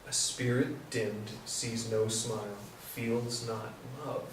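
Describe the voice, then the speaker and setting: A man's voice: lowish voice, flat delivery, takes it slowly. A man playing a sensitive, earnest carpenter in a live reading of a stage play. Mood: reverent. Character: Thomas, sensitive, earnest male carpenter, defends others. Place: echoey indoor stage or hall. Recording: very echoey room, distant mic, faint hiss.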